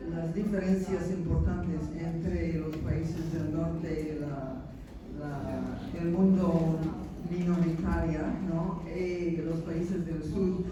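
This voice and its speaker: Slow, halting American woman in echoey hall. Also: on the low side. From United States. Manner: halting, searching for words in a second language. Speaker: panelist. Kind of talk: conference panel remarks. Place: large conference hall. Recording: echoey hall from far off, audience noise.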